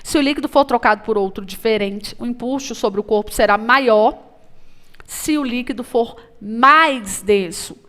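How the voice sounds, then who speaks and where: A woman teaches exam problems in a recorded lesson, her voice sitting a little high. She explains step by step and keeps checking in with the class with little questions. She speaks to the students as friends. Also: normal speed, fairly bright tone. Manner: explanatory, repeats "tá?" and "beleza?", calls students "amiguinhos". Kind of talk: recorded math and physics lesson.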